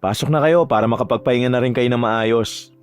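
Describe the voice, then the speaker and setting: A woman narrator puts on a young man, a relaxed and affectionate boyfriend. The voice drops well below her own, in audio drama style with music behind. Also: a bass voice, brisk, clear, resonant tone, pitched below the performer's own voice. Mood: caring. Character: easygoing young man, sweet helpful boyfriend.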